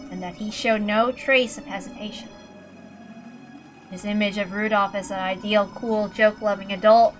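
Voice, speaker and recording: A woman narrates a visual novel in a dramatic storytelling style. Her voice sits at a medium pitch. Soft music runs faintly underneath. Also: rough recording. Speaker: narrator and reader. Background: faint background music.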